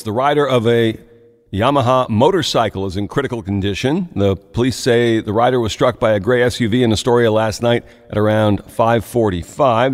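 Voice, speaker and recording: A man reading the local news as a radio anchor, in a steady, measured way with occasional asides. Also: medium pitch, in a large hall.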